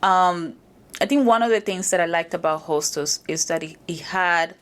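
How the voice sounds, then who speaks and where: A woman's voice: lowish voice, moderate pace, smooth. A woman from Venezuela, a guest in an interview, telling stories about her upbringing with frequent restarts. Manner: storytelling, frequent restarts and "you know". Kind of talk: conversational interview.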